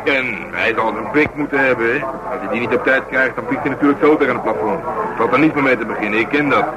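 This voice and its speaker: Man playing burly police detective, gruff, colloquial, argumentative, stubborn, mid-pitched, radio drama. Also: quick pace, a loud crowd, lightly acted, rough recording. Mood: speculating, blunt. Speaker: radio actor. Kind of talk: naturalistic radio play dialogue.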